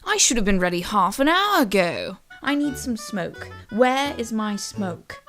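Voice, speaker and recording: A woman's voice: mid-range voice, big pitch swings, a little slow, fairly bright tone, faint music behind, voice pushed up. A woman voicing a haughty, impatient young princess in a storybook, dismissive and demanding.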